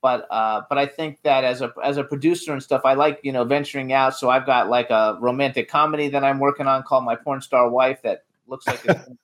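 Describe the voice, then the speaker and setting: A man's voice: mid-range voice, fairly even intonation, a little fast, fairly bright tone. A man hosting a talk show, chatting casually as a film producer and asking his guest questions.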